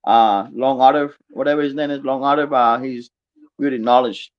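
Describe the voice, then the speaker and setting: A man from Puerto Rico, a guest on a livestream panel, speaking haltingly and repeating his words. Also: mid-range voice, steady tone. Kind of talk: casual chat. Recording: dull lo-fi stream audio.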